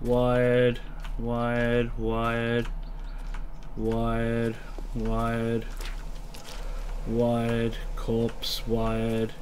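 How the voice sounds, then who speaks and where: A man's voice: medium pitch, monotone, drawn-out words, pauses often, darkish tone, slight background noise. A man in his late thirties with a stuffy nose from a mild cold, thinking aloud as he talks viewers through a game. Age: late 30s. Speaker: let's play host. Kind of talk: casual gameplay commentary.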